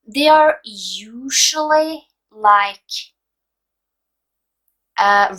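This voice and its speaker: A young Norwegian woman in her twenties talks slowly and loosely, full of hesitations and fillers. Her voice is bright and clear, with a Norwegian accent. English is not her first language. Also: on the high side, rough recording. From Norway. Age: 20s. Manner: relaxed, hesitant, many fillers. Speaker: book vlogger. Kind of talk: casual advice vlog.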